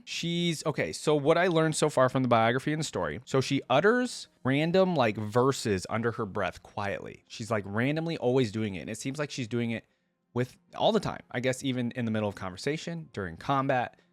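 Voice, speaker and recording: A man's voice: mid-range voice, animated, dry recording. Male reaction host, casual and speculative, dry close sound. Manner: pauses to speculate aloud. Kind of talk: casual reaction commentary, reading a story aloud.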